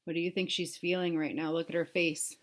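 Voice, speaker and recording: A woman reading a picture book aloud to young children, pausing to explain things simply and ask them questions. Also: fairly low pitch, level tone. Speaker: read-aloud storyteller for children.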